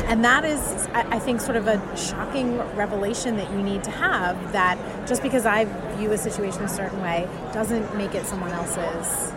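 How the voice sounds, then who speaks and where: A woman with a mid-pitched voice talks as an author in a casual interview. She is earnest and articulate, leaning on her key points. The recording is swamped by crowd chatter and loud background noise. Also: moderate pace, few pauses.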